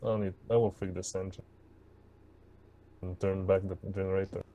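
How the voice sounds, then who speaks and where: A man's voice: low voice, little pitch movement, moderate pace, mellow, highs cut off. A man playing a tabletop game, speaking casually in character. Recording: noisy microphone with clicks.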